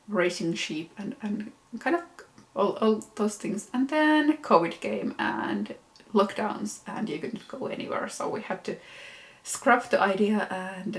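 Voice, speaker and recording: Finnish woman host, native Finnish speaker, relaxed unhurried delivery, mid-range voice, chatty with ums. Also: medium pitch. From Finland. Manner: unhurried, chatty, frequent ums and self-corrections. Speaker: knitwear designer and podcast host. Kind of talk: casual chat to camera.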